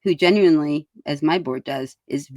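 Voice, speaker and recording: A woman's voice: sits low, moderate pace, a little mellow, dry recording, clean studio sound. A woman speaking as a school board member in a panel interview, emphatic and given to rhetorical questions.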